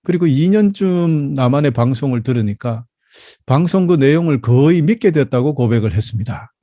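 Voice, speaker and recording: Man, fifties, from South Korea, pastor, relaxed dark timbre, measured storytelling sermon, dry sound. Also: medium pitch, no echo. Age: 50s. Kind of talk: Sunday sermon with personal storytelling.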